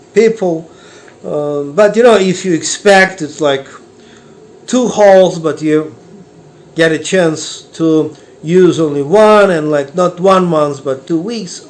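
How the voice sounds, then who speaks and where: A man with a Russian accent telling a personal story slowly, with many pauses and fillers. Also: high voice. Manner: unhurried, halting, many fillers and restarts. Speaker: meditation teacher and artist.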